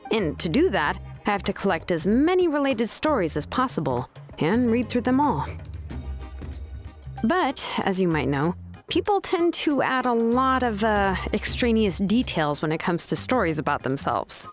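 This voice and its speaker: A woman voicing a calm, bookish craftswoman in a video game, explaining things in a measured, scripted way. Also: medium pitch, faint music behind, close to an everyday voice. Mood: earnest explaining. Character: calm, bookish adult craftswoman who forges ancient names.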